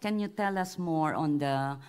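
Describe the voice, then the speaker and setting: A woman moderating a conference panel, introducing a speaker with many halting restarts. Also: deep voice, darkish tone, smooth.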